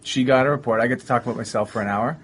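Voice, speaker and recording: Male co-host, quick wisecracker, lively pitch, faint hiss. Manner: wisecracking, self-mocking. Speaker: teacher, podcast co-host. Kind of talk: casual chat.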